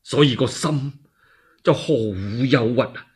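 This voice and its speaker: A man's voice: medium pitch, a little slow, darkish tone, breathy edge, pitched below the performer's own voice. A man voicing a sorrowful, weepy middle-aged warlord, plaintive, hesitant and sighing, in a one-man radio drama. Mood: anguished.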